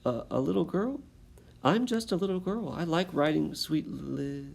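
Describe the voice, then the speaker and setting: A brave young colonial girl speaks in a lifted, lively voice, full of spirit, as a man reading a storybook puts her on. A gravelly, husky grain stays under her words. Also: normal speed. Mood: frightened. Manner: higher, lighter voice for a girl.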